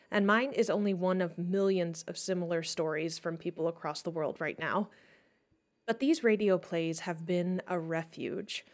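A woman, a theatre managing director, giving an earnest, measured, articulate scripted address to listeners. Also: lowish voice.

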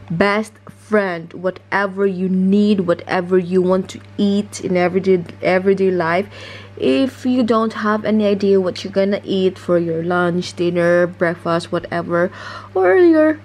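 A pregnant young woman talks through a home cooking vlog at an unhurried, slow pace, loose and chatty with plenty of "um" and restarts. Her pitch sits mid-range. A faint room hiss sits behind her on the camera mic. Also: darkish tone. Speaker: vlogger. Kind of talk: casual cooking vlog chat. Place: home kitchen and living room.

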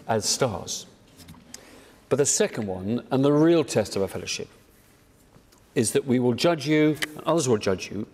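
An older man with a British accent gives a prepared address from a lectern in a measured, emphatic way, as the director of an organisation. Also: mid-range voice, a little mellow. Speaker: charity director addressing seminar. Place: indoor hall, at a lectern. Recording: reverberant hall.

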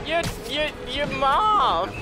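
Lady doing mischievous older auntie in a game spoof, teasing, kinda high n bouncy, music going. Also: big pitch swings, slow, heavily acted. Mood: excited, teasing. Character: sly, foul-mouthed older aunt, teasing and mischievous. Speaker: voice actor in a comedy fan dub. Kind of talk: improvised parody game dubbing. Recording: music playing behind the voice.

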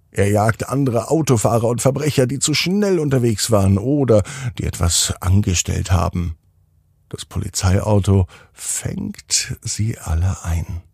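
A man narrates for children in a low, creaky voice. He speaks as a podcast storyteller, addressing his young listeners directly with simple phrasing. The recording is close and dry. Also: a little mellow, no room sound. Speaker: podcast host and bedtime storyteller.

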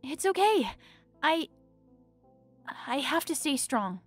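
A woman playing a young heroine who is unsure of herself but determined, with a high voice. She speaks slowly and falters and stammers between words. Faint music plays beneath her. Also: sing-song, full character voice. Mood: earnest. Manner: hesitant, stammering. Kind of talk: fan audio drama acting.

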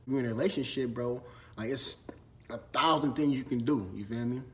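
A man's voice: medium pitch, a little fast. Laid-back slangy young man vlogging. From Minnesota. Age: 20s. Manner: laid-back slangy chat, eating while talking. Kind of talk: casual Q&A vlog. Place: storage room with shelves and ladders, indoors.

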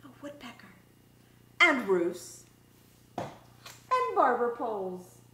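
A middle-aged woman reads a picture book aloud for children's story time, expressively and deliberately, drawing out her words. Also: fairly high pitch, very animated, fairly bright tone. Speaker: special education teacher reading a picture book aloud. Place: small indoor room set up as a classroom. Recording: roomy echo, background room noise.

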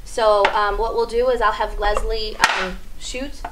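A middle-aged woman giving an informal hands-on demonstration, explaining haltingly as she handles props. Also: mid-range voice, steady tone. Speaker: radio drama troupe demonstrator. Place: small radio studio, indoors. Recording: noisy off-mic room sound, prop clatter.

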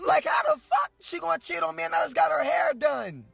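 A man's voice: extremely high pitch, huge pitch swings, fairly quick, gravelly, a bit bright, dry recording, soft background music, heavily acted. A man voicing a whiny, betrayed, foul-mouthed guy in a comedy voice-over, wailing and profane. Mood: heartbroken.

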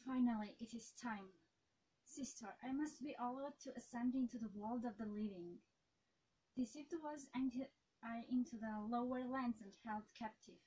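A woman's voice: fairly high pitch, moderate pace, warm tone, husky, rough recording. A young woman reading an audition monologue as an ancient, angry, weary goddess, with solemn archaic phrasing. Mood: solemn demanding.

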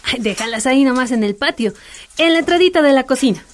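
A woman's voice: sits high, expressive, fast, a little hiss or hum. A woman playing an easygoing, chatty wife in a radio soap, with a Bolivian accent. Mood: soothing, chatty. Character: easygoing, chatty homemaker wife soothing her grumpy husband.

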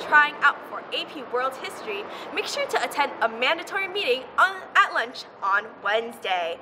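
A woman's voice: high voice, heavy background noise. A teenage girl hosting a student news show outdoors, reading school announcements in an upbeat manner. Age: teens.

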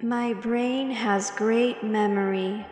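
A woman narrating a guided meditation with affirmations in a calm, measured way, leaving long pauses between phrases. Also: a little high. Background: meditation music.

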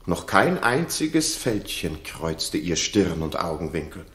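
An actor playing a grieving, remorseful older king in a 1960s German radio drama, declaiming gravely and fervently. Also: low-pitched, very animated, slow, a bit bright, exaggerated voice. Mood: remorseful awe. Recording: roomy 1960s radio recording.

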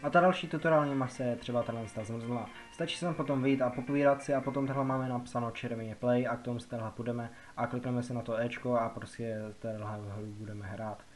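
Guy from czech republic doing a casual gaming walkthrough, rambly and repetitive, medium pitch, clear music behind him. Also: fairly even intonation, few pauses. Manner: rambling, repetitive explaining with filler words. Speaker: gaming video narrator. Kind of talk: casual game tutorial commentary. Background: background music.

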